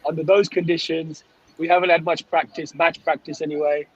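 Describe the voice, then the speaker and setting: Man, fairly high voice, a bit hoarse, casual sports fan chat, lo-fi call sound. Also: little pitch movement, brisk. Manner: conversational, often tags phrases with "right?". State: slightly hoarse. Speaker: sports fan group founder, guest. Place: on a coach, remote call. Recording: dull lo-fi call audio.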